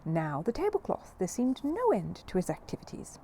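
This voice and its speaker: Woman, children's story narrator, soft mellow tone, expressive delivery, noticeable background noise. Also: mid-range voice, moderate pace. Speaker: audiobook reader.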